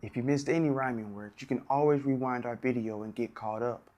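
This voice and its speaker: A man hosting a story time, addressing young children like a classroom teacher in clear, simple phrases. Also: medium pitch, steady tone, fairly quick, a little mellow, dull audio. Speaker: children's read-aloud host, teacher.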